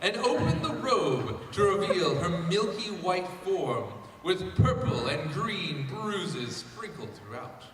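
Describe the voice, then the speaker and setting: A man's voice: high voice, very animated, slow pace, few pauses, crowd noise. A man reading fan fiction aloud to a panel at a fan convention. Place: large convention panel room. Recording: echoey hall, distant mic.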